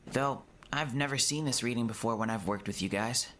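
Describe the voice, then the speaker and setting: A man's voice: medium pitch, a little fast, fairly bright tone, a little hiss or hum, natural voice. A man voicing a hesitant, helpful computer operator sidekick in an audiobook, talking in a tentative, casual way. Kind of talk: audiobook character dialogue.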